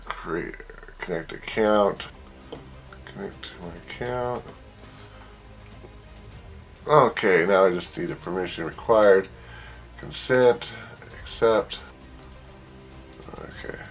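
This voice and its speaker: Low-voiced man giving a casual software walkthrough. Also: slow, vocal fry, long pauses, faint music behind. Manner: informal, thinking aloud while clicking through steps. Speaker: software tutorial presenter. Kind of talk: casual screen-share walkthrough.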